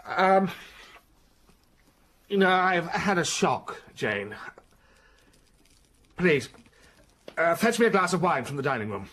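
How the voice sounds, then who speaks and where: An actor playing a commanding, secretive master of the house, brusque and urgent, in a radio drama. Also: male voice, on the high side, sing-song, takes it slowly, pauses often, a bit bright, heavily acted. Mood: shaken.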